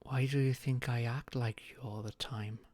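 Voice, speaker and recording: A young man playing a shy, anxious friend in an intimate audio roleplay, speaking haltingly with sighs and murmurs. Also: mid-range voice, fairly even intonation, a little mellow, no echo, lightly acted. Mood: sheepish, tender. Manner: halting, sighing, breathy murmurs rising to tearful outbursts.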